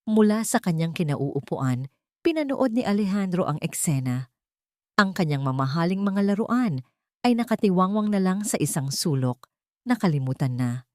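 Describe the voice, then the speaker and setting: Female narrator, Filipino accent, deep register, lively melodic delivery for an audio drama. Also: moderate pace, no echo, studio quality. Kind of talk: expressive scripted storytelling.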